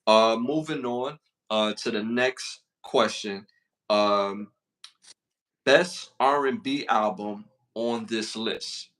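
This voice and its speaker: Bright-voiced Brooklyn man chatting. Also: on the low side, slow, low-quality recording. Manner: slangy, repeats himself, reads out list questions. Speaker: podcast co-host. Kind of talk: casual podcast chat.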